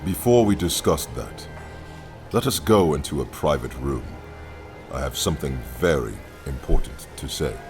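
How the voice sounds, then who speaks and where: Deep, commanding villainous leader. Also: male voice, animated, moderate pace, music in the background. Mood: grave. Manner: pompous, condescending, grandiloquent. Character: imposing, sardonic anarchist leader, commanding and theatrical. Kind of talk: radio play acting.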